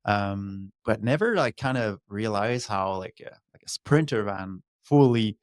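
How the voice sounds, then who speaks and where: Low-voiced Quebec French man, dry sound. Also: lively intonation, unhurried, no echo. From Quebec, Canada. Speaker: podcast guest, former downhill mountain bike racer. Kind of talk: casual chat, telling own story.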